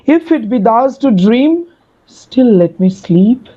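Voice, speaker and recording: A woman playing a bewildered, smitten young gentleman in a dramatic reading of Shakespearean comedy. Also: a little high, very animated, takes it slowly, slightly rough, dull audio, full character voice. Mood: dreamy wonder.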